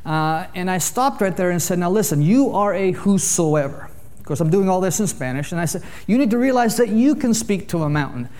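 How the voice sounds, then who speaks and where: A man preaching to a church congregation, telling jokey stories in a lively way. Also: high voice. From Canada. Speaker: visiting preacher. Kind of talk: sermon with humorous storytelling.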